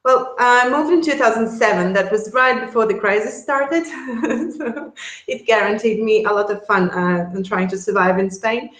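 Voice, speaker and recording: A woman's voice: fairly high pitch, normal speed, fairly bright tone. Latvian lady chatting in an interview, laughs a lot, trips over her words, roomy echo. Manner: chatty, laughs often, many restarts. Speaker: guest, relocation service founder. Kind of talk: casual interview chat.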